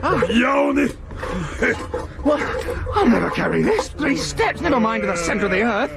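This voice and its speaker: An actor playing an anxious, whining young man, a gluttonous nephew who complains plaintively and excitably in a radio drama. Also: very high voice, moderate pace, vocal fry, full character voice. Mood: exasperated. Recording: noisy 1990s radio broadcast with effects.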